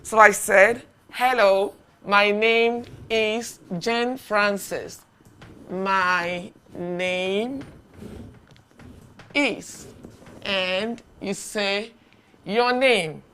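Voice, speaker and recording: Slow, clear-voiced woman teaching English. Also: mid-range voice, a bit bright. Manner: slow, deliberate, repeats phrases for learners to echo. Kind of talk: beginner language lesson.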